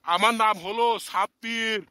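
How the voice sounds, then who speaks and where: A man's voice: high voice, steady tone, normal speed, sharp tone, clean tone, no room sound. A man voicing a proud old village uncle in a comic cartoon, with a simple, plaintive way of talking. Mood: plain. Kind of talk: comic Bangla cartoon dialogue.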